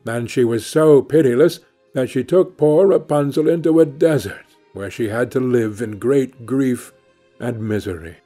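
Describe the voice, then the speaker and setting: A man narrating a scripted fairy tale as an audiobook reader, in a measured storytelling manner. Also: mid-range voice, mellow. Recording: music playing behind the voice. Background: music.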